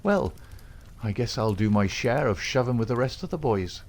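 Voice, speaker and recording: A man with a gravelly voice playing a cool, cocky young outlaw who talks calmly and defiantly. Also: mid-range voice, normal speed, slight background noise, voice pushed up, lightly acted. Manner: calm, coolly defiant.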